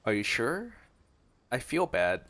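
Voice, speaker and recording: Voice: medium pitch, huge pitch swings, slow, creaky, fairly bright tone. A gaming streamer reading game dialogue aloud and adding brief reactions.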